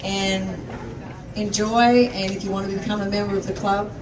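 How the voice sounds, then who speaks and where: A woman's voice: medium pitch, level tone, normal speed, background noise. Enthusiastic Australian woman in an echoey hall. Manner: enthusiastic, chatty. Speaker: event speaker giving a testimony. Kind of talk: upbeat sales testimony on stage. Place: event venue with a crowd. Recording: echoey hall, distant mic, loud background.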